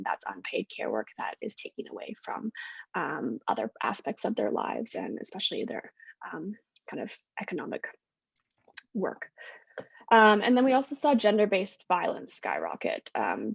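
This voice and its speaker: Husky Canadian woman on a video call. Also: fairly low pitch, no room sound. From west coast of Canada. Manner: friendly, many fillers and self-corrections. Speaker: guest speaker, postdoctoral researcher. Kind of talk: online presentation talk. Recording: dull lo-fi video call audio.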